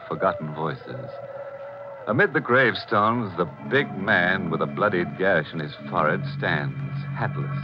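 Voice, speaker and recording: An actor playing a ghoulish, theatrical host and narrator of a 1940s radio horror show, drawing out his words with sinister relish. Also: low voice. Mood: ominous. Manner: drawn-out, pun-loving, sinister relish. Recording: dull 1940s radio recording, organ music.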